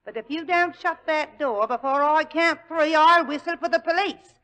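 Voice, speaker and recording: A stubborn washroom matron. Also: female voice, high voice, normal speed, bright, forceful tone. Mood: stern. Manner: gruff, scolding. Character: stubborn cockney washroom matron, middle-aged woman. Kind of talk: 1940s radio acting.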